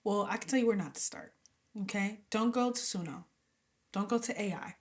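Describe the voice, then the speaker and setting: Young woman singer chatting in an interview, lively, laughs a lot, sometimes whispers to make a point. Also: medium pitch, a little fast. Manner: animated, laughs often, drops into whispers to mimic singers. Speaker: gospel and R&B singer, interview guest. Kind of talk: casual interview talk.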